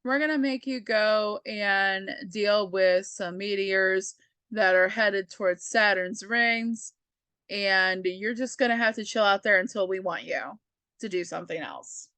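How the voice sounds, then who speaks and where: A woman's voice: mid-range voice, a little slow, bright, forceful tone, smooth, no echo. A woman voicing petty, dismissive galactic guardians, bossy and curt, in slangy talk for a comic book retelling.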